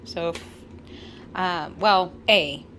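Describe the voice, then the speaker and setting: A woman's voice: fairly low pitch, very slow, fairly bright tone, a little hiss or hum. An American woman co-hosting with casual, conversational explanation and a wry manner. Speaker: co-host.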